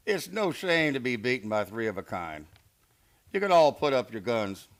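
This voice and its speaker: A menacing Western hired gun acted by a man for a radio play. His gravelly voice swings widely in pitch, and he talks with theatrical, mock-polite smugness. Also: big pitch swings, measured pace. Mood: mock gracious. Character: smug, menacing Western hired gunman, easily flustered. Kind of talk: Western radio drama acting.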